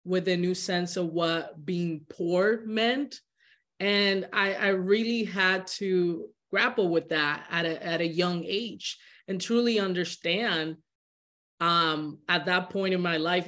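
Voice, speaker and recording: A young woman from the Dominican Republic, a guest on a video call interview, speaking earnestly and often restarting words as she thinks. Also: lowish voice. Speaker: guest, social entrepreneur. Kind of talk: candid interview conversation. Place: home living room.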